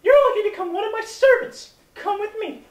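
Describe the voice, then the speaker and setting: A woman plays a feisty young fairy tale princess with a very high voice. She declaims with sassy confidence in a comic stage play. The sound is roomy and distant, with music underneath. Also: fast talker. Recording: echoey stage, distant mic, music behind.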